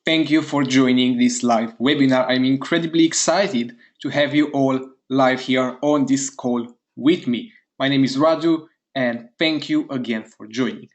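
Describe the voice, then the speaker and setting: A man from Romania hosting a live online sales webinar, upbeat and eager, talking straight to his chat audience and repeating words in quick bursts of praise. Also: mid-range voice. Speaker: webinar host.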